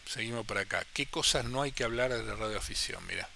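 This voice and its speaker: A man's voice: lowish voice, brisk, gravelly, bright, forceful tone, a little hiss or hum. A man with a Rioplatense Spanish accent explaining amateur radio in a casual, chatty way, often repeating phrases and acting out questions he imagines from listeners. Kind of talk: casual how-to talk.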